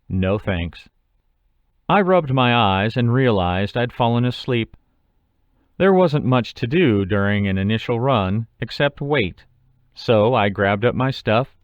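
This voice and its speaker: Low-voiced man narrating an audiobook story, dry sound. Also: clear, resonant tone, dry recording, highs cut off. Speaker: author reading own short story. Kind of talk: scripted first-person narration, audiobook.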